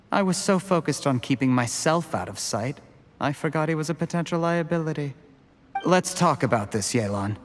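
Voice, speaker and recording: A man's voice: mid-range voice, natural voice. Strained young actor as a male schemer, echoing. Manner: earnest, strained, self-justifying. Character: ambitious, cornered young male schemer of lowly origins. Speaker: video game character. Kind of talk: scripted game voice acting. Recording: long echoing reverb.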